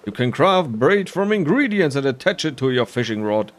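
A man's voice: medium pitch, big pitch swings, normal speed, slight background noise, natural voice. A man voicing a patient, easygoing fishing expert who explains things in a video game. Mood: easygoing, instructive. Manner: instructive, easygoing. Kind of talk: voiced video game dialogue.

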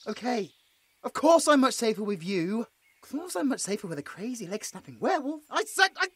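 A man's voice: very high-pitched, a bit bright, dry recording, exaggerated voice. A British man playing a frightened, sarcastic, injured monster hunter in an audio roleplay, stammering as he placates his captor. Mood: nervous reasoning, then panicked, placating. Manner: stammering, pleading, with muttered sarcastic asides.

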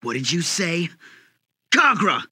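Brash young demon fighter for an anime game, highish gritty voice, really animated. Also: male voice, slow pace, dry recording, studio quality, exaggerated voice. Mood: indignant. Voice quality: rough, gravelly. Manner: gruff, combative. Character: brash, hot-headed young male half-demon warrior.

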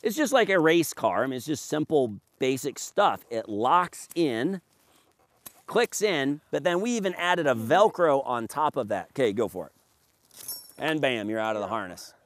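A man in his forties pitching his gear with a sales-pitch punch, his voice leaping up and down in lively swings. He stands out in an open field, and the sound is dry with no room around it. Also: moderate pace, dry recording. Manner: emphatic, salesman-like, reading points off a sheet. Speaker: paramotor maker demonstrating his gear. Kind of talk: promotional product walkthrough. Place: open grassy field outdoors by a fence. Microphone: camera at a few meters, standing and gesturing.

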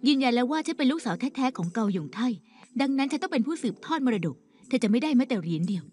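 A woman's voice: sits high, sing-song, fast talker, darkish tone. An actress playing a cold, confident young woman in a dubbed TV melodrama, assertive and dismissive. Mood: coldly defiant.

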